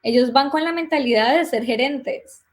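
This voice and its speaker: Guest, young Colombian woman, slightly high voice, chatty storyteller who acts out conversations. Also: normal speed. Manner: lively storytelling, plays out remembered dialogue. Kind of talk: casual interview talk.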